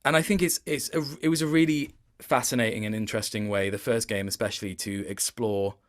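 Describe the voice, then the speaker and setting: A man's voice: lowish voice, steady tone, fairly quick. Male interviewee, casual and reflective, thoughtful delivery that doubles back while finding the right words. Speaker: interview guest, voice actor.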